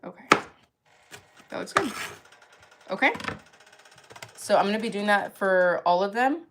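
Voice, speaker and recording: A woman's voice: medium pitch, expressive, unhurried, very noisy. A young woman hosting a livestream, chatting casually and giggling while she cooks and answers viewer comments. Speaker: livestream cooking host.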